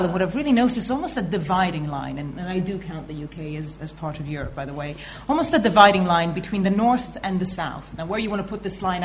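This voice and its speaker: A woman journalist from Italy speaking on a conference panel, measured and personal, sometimes trailing off. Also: fairly low pitch, a little hiss or hum.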